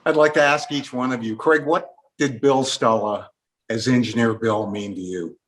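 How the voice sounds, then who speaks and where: A man's voice: medium pitch, steady tone, moderate pace. A man acts as host and interviewer. His voice is mid-range, and he puts questions to guests in conversational speech.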